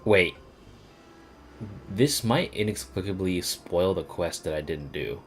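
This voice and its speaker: A man with a very deep voice gives casual playthrough commentary. He is playful and profane, muttering reactions with lively swings in pitch over clearly audible game music. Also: slow pace. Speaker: game streamer.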